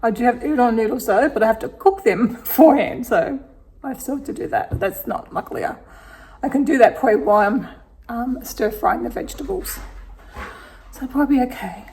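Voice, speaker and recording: A middle-aged woman with an Australian accent talks through her day in a rambling, easygoing way. Her voice sits fairly high and is a little croaky, and she breaks into soft laughs as she thinks aloud in her kitchen. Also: a little fast. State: slightly croaky voice. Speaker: vlogger. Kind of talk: casual day-in-the-life vlog narration. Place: home kitchen.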